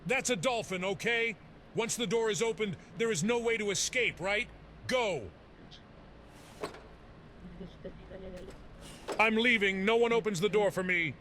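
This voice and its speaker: A man's voice: high-pitched, moderate pace, sharp tone, a little hiss or hum. A man voicing a frantic, paranoid old man in hiding who rambles in fantasy game dialogue. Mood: paranoid, agitated. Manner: rambling, agitated.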